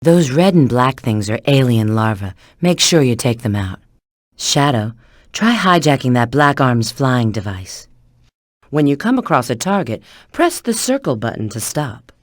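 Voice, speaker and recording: A cool, flirty female bat treasure hunter from a video game. She gives knowing instructions in a very lively, swooping delivery. The sound is close and dry. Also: a bass voice, measured pace, no echo, full character voice. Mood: cool, instructive. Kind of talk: video game character voice acting.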